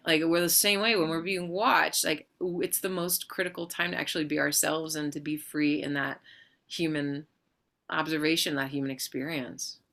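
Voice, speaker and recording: Bright, bubbly woman host. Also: low voice. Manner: bubbly, effusive, laughs often. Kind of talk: casual interview chat. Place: video call livestream.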